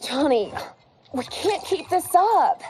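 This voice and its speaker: An actress playing a blunt, exasperated wife in a video game cutscene. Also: female voice, high-pitched, animated, slow, noisy background. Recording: game cutscene with music behind.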